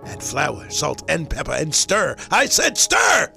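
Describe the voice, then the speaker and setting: A man's voice: on the high side, huge pitch swings, a little slow, creaky. A man on a morning radio show giving a mock-dramatic recipe reading, emphatic and repeating words for effect. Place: radio studio. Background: music under the voice.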